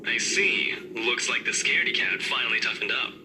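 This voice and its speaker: A man's voice: medium pitch, lively intonation, moderate pace, bright, forceful tone, a little hiss or hum. An actor playing a cocky, teasing young man in a visual novel game, speaking tersely.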